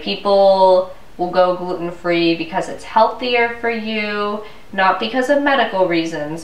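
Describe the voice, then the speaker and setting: A young woman with a cold, sounding stuffy and congested, ranting casually to her vlog camera at home. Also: lowish voice. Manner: chatty, exasperated ranting. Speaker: vlogger. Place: living room at home, indoors. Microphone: camera mic from a few feet away. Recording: roomy, distant camera audio.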